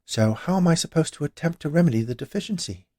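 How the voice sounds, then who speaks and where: A man's voice: on the high side, expressive, fast talker, mellow, dry recording, clean studio sound, voice pushed up, natural voice. Man putting on a relentlessly cheerful candy shop woman. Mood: puzzled, insistent. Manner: annoyingly cheerful, rattling off lists. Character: cheerful chatty woman confectionery shopkeeper, naively argumentative.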